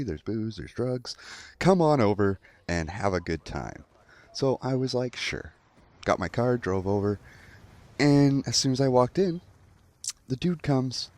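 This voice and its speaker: A man's voice: on the low side, darkish tone, no room sound. A young adult man telling a story in a casual commentary style, acting out quotes as he goes. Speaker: online storyteller.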